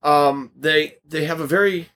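A man co-hosting a casual podcast while losing his voice, coughing now and then and projecting loudly with many restarts. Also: on the high side, a little slow. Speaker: podcast co-host.

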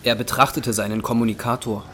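A man's voice: mid-range voice, level tone, fast talker, over music. A man narrating a German audio drama in a scripted, dramatic storytelling style.

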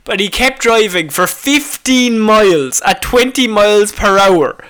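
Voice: medium pitch, expressive, measured pace, fairly bright tone. A podcast co-host chatting casually and jokily about odd news, often laughing while talking.

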